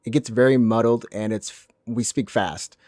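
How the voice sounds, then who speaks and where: A man from Alabama co-hosting a podcast in casual chat, talking in quick bursts with restarts and laughs. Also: medium pitch, steady tone, a little mellow, dry recording, clean studio sound. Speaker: podcast co-host.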